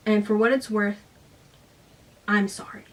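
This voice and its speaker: A woman's voice: mid-range voice, fairly even intonation, unhurried, distant mic, lightly acted. A woman doing a defensive, guarded father.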